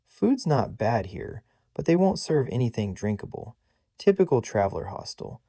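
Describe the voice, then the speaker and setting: A man's voice: mid-range voice, warm tone, no room sound, studio quality. A man voicing an earnest young man who talks with a slightly condescending, big-brother tone. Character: young man, earnest undercover agent, sibling-like.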